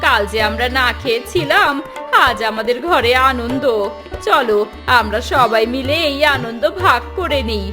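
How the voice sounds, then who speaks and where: A woman playing a kindly, grateful village wife and mother in a cartoon folk tale, gentle and hopeful. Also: a little high, animated, moderate pace, lightly acted. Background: background music.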